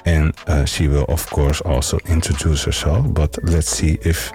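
Man, Dutch accent, radio host, very deep voice, halting and friendly, faint music behind. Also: flat delivery, a little mellow. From Netherlands. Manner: hesitant, many fillers, friendly. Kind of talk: casual radio chat and interview.

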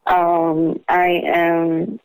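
A woman's voice: lowish voice, monotone, very slow, gravelly, clean tone, dry recording. Woman, from the US, singer on a phone call, upbeat, casual, dry close sound. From United States. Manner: upbeat, casual, self-assured. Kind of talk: phone interview.

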